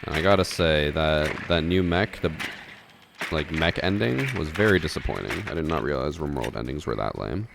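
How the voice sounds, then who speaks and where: A man streaming a shooter game, chatting calmly with his viewers and commenting on his play in an unexcited way. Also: a bass voice, moderate pace, gravelly, noisy background. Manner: calm, unexcited. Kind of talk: casual gameplay commentary with chat. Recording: game gunfire audible behind voice.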